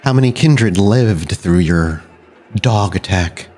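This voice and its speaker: A man acts out a dead vampire elder haunting a mirror in a roleplay game. The voice is very low and grandiose, needling with pointed questions, over faint music. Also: expressive, takes it slowly, a little mellow. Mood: goading, grand. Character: dead vampire sire as mocking, goading mirror reflection. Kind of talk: tabletop roleplay acting.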